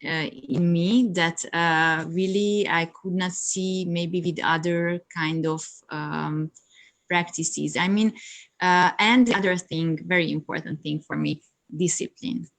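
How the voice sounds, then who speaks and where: A woman whose first language is Hungarian, talking in a somewhat low voice. She speaks in a halting way, full of fillers and small laughs, with the sound of a video call. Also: fairly even intonation, vocal fry. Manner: hesitant, many fillers, laughs often. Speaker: yoga teacher, guest. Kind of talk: casual interview chat.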